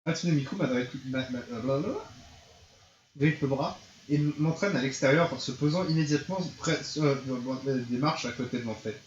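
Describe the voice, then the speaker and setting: Guy reading a game out loud on stream, mid pitch, roomy and kinda muffled, goofing around. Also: moderate pace. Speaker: streamer reading a visual novel aloud. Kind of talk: casual comic read-aloud with banter. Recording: echoey, muffled room with background hiss.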